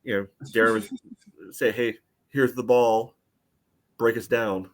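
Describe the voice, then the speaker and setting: A man's voice: medium pitch, fairly even intonation, takes it slowly. A man co-hosting a sports podcast, casually explaining soccer tactics with frequent asides.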